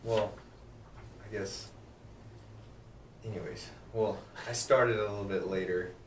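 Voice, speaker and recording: A man's voice: on the low side, fairly even intonation, takes it slowly, roomy sound, recorded from a distance, noisy background. Laid-back man in his thirties. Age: 30s. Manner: easygoing, laughs often, agreeable. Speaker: podcast guest, sales manager. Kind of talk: casual chat.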